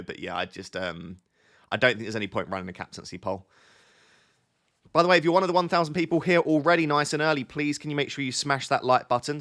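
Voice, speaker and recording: A man's voice: medium pitch, sharp tone. A man hosting a casual live stream, answering viewer chat. He has a slight cold and sounds a little sniffly, thinking aloud and often restarting his sentences.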